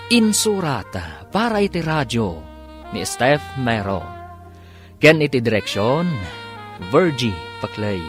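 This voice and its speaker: Animated male radio drama announcer. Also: mid-range voice. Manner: theatrical, drawn-out title announcements. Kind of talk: dramatic scripted Ilocano radio narration.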